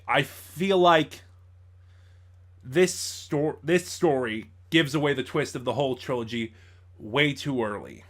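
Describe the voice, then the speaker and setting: A man's voice: medium pitch, fairly bright tone. A man reviewing in an informal commentary style, thinking aloud and correcting himself as he goes. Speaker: audio drama reviewer.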